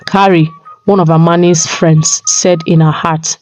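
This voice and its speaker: A woman's voice: lowish voice, steady tone, a little slow, darkish tone. A woman storyteller reading a scripted folktale narration. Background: music.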